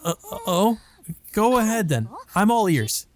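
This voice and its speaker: A man voicing a jealous, excitable young vampire woman from a visual novel, indignant and teasing in manner. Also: sits high, measured pace. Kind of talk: visual novel character read aloud.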